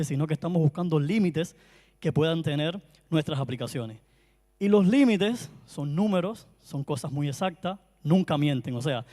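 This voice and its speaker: A man with a Cuban Spanish accent gives a talk in a large hall where his voice rings with long reverb. His voice sits somewhat high for a man. He talks in a chatty, friendly way, often pausing and restarting his phrases. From Cuba. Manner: warm, chatty, frequent hesitations and restarts. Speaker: conference speaker. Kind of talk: conference talk. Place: conference hall.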